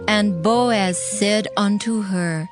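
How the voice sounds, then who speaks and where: A woman narrator reading scripture in archaic English, formal and measured. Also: mid-range voice. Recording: faint music behind the voice.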